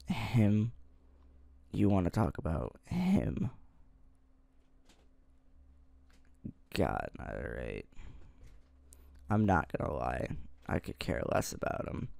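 Tsundere high school boy, male actor, ASMR roleplay: irritable and halting, lively intonation, close dry mic. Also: dry recording, heavily acted. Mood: annoyed, grumbling. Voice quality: low, gravelly. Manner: halting, grumbling. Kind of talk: ASMR roleplay, one-sided dialogue to listener.

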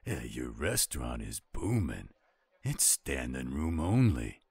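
Man as a husky, rumbling laid-back werewolf. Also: very animated, takes it slowly, darkish tone, no echo, full character voice. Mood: calm, reassuring. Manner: drawling, teasing, easygoing. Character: laid-back, teasing male werewolf friend. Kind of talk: audio roleplay acting.

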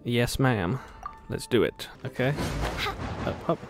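A man's voice: mid-range voice, lively intonation, takes it slowly. A man giving casual gameplay commentary, with playful, sarcastic asides. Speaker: gaming video host. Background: game music.

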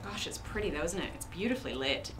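A woman's voice: fairly low pitch, animated, fast talker, noisy background. A young woman who grew up in New Zealand, hosting a casual let's-play and chatting, self-mocking and exclaiming at the game, in a small room with game music behind. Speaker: gaming video host. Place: small decorated room indoors.

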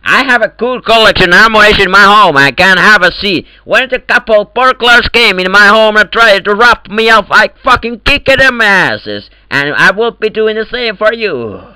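A man's voice: high voice, expressive, normal speed, few pauses, pushed and bright, smooth, dry recording, rough recording. A man with an Eastern European accent trolling other players in game voice chat, boastful and in broken English, over a headset. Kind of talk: prank call banter in game voice chat.

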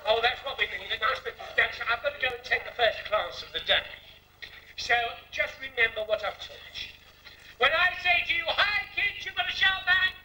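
A man's voice: very high-pitched, expressive, moderate pace, bright, forceful tone, heavily acted. A man playing a brash, saucy older woman, a pantomime dame, with cheeky, declamatory patter that bosses and coaxes the audience. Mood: saucy, teasing. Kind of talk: theatrical music-hall pantomime patter to an audience. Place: theatre stage with painted scenery. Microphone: stand microphone, picked up from the auditorium. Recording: echoey theatre, distant old videotape sound.

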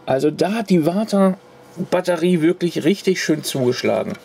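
A man's voice: on the high side, lively intonation, normal speed, vocal fry, darkish tone, a little hiss or hum. A man casually showing a corroded circuit card to the camera at his home workbench, rambling with restarts and fillers. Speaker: retro computer hobbyist. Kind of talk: casual show-and-tell vlog.

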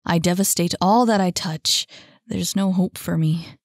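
A woman's voice: fairly low pitch, unhurried, no room sound, clean studio sound. A woman reading a storybook and voicing a nervous, self-doubting young man who speaks hesitantly. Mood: despairing.

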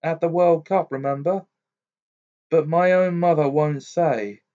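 A teenage schoolboy from a storybook, acted by a man. He sounds blunt and grumbly, with an everyday middling pitch. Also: animated, takes it slowly, darkish tone, lo-fi sound. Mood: grumpy. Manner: blunt, grumpy.

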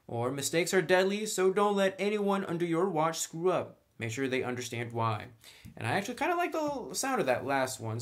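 A man hosting a tabletop game tutorial, explaining things step by step in a casual, conversational way. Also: medium pitch. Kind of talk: casual step-by-step tutorial, partly reading rules aloud.

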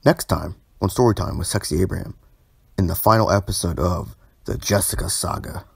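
A man's voice: sits low, fairly quick, gravelly, husky, no room sound, clean studio sound. A young man narrating a storytime video informally, with a sardonic manner and bursts of exaggerated emphasis.

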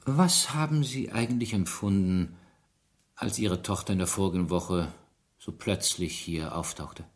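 An actor playing a persistent police inspector in a German radio drama, probing and insistent. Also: male voice, low voice, measured pace. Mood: insistent, pressing. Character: persistent male French police inspector questioning witnesses.

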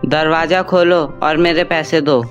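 A man playing a greedy, bullying middle-aged moneylender in an animated story, harsh and demanding. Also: on the high side, unhurried, lo-fi sound, natural voice. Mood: menacing, demanding. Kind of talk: Hindi animated story dialogue. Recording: music under the voice.

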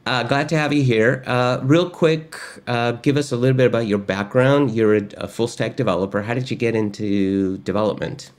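A man's voice: mid-range voice, normal speed, hardly any pauses. A man in his fifties from Argentina, hosting an online lesson and interview in an upbeat, chatty way with frequent filler words, recorded at a desk in a home studio. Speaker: host. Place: home studio with gaming chair.

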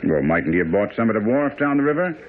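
An actor playing a shrewd, brisk and self-assured gentleman detective in a radio drama. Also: fairly low pitch, sing-song. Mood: polite, probing. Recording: tinny radio broadcast with faint noise.

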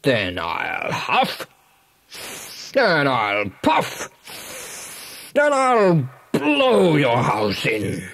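A man voicing the big bad wolf in a storybook reading, drawing out his threats slowly. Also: a little high, wildly swooping pitch, vocal fry, a bit bright, heavily acted. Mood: threatening. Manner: slow, drawn-out, menacing. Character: big bad male wolf, greedy, menacing.

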